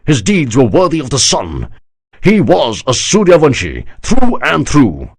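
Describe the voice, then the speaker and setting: A male narrator plays a proud army general in an audiobook, scornful and unbending. The voice is husky with a lively, swinging pitch. He speaks with flat certainty. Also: unhurried, heavily acted. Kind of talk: audiobook character voice.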